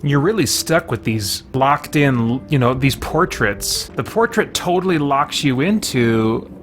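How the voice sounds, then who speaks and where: A man's voice: medium pitch, expressive, measured pace, soft background music. A man giving casual, candid first-impressions commentary on a video game, thinking aloud as he plays.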